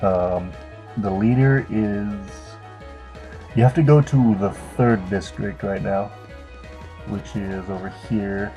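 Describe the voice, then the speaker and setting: A man's voice: lowish voice, takes it slowly, mellow. A man giving casual, unscripted video game commentary, rambling and hesitant as if unsure of his facts. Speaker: let's play commentator. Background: game music and cutscene dialogue.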